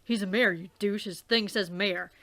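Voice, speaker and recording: A woman voicing a laid-back teenage boy who talks in a deadpan, unbothered way. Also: medium pitch, normal speed, pitched below the performer's own voice, lightly acted.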